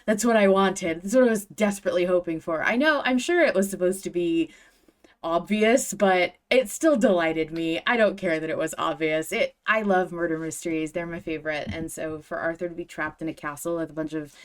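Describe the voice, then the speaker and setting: A woman's voice: lowish voice, a little fast. A woman hosting a podcast in casual chat, with an enthusiastic, emphatic way of talking. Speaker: podcast host.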